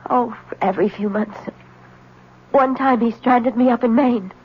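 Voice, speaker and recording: An actress playing a troubled, enigmatic wife, grave, plaintive and evasive, in a 1970s radio drama. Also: female voice, a little high, a little slow, breathy edge. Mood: anxious, evasive. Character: troubled, enigmatic wife giving ominous warnings. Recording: muffled old radio broadcast recording.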